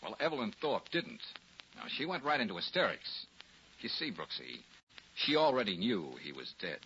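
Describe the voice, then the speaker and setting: An actor playing a confident, wry private detective in a 1940s radio drama, explaining things knowingly. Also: male voice, on the low side, very animated, normal speed. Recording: muffled, noisy 1940s radio recording.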